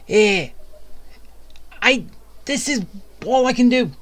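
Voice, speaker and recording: A woman giving casual gameplay commentary with wry, blunt asides. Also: a little high. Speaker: video game streamer.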